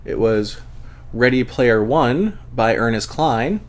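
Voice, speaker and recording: A man hosting a casual live book club stream, a little congested, talking in a relaxed, hesitant way full of fillers as he reads viewer comments aloud. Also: medium pitch, slow. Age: adult, long-time convention goer.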